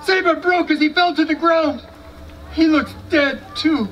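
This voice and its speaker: Man playing a high-pitched, blustering American soldier. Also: fairly even intonation, unhurried, fairly bright tone, muffled recording. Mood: alarmed. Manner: blustering, folksy, scornful. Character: proud, folksy American soldier boasting about his army. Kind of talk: live historical battle dramatization read from script. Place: outdoor stone amphitheater, far camera. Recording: distant outdoor recording with noise.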